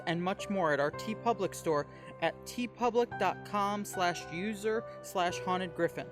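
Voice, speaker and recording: Male announcer for scripted credits and promos, fairly high voice, clear music bed underneath. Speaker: announcer reading podcast credits. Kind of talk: scripted narration, promotional outro.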